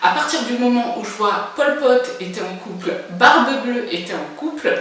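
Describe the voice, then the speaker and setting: A woman's voice: on the high side, expressive, normal speed, fairly bright tone, heavy reverb, distant mic. A woman in her forties talking frankly to camera in her first vlog, hesitant with lots of filler sounds but blunt. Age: 40s. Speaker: vlogger. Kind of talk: casual personal monologue.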